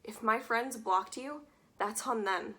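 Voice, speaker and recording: A woman's voice: fairly high pitch, slow pace, bright, forceful tone. A young woman acting a teenage girl, wry and wistful, reading letters in a stage monologue. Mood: defensive, firm. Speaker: stage actor.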